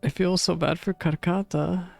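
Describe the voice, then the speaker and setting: A streamer reacts casually to a game story. The delivery is empathetic and halting, with faint game music behind the voice. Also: medium pitch, steady tone, vocal fry, a little mellow. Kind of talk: casual live reaction commentary.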